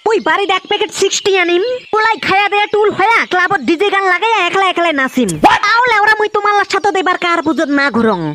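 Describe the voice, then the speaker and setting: A performer voicing a bossy, foul-mouthed young man in a comedy cartoon, with a North Bengal accent and a shrill voice. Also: expressive, quick pace, pushed and bright, slight background noise, heavily acted. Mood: scolding. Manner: foul-mouthed, scolding, rustic. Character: Tepu, bossy scolding older-brother friend, young man.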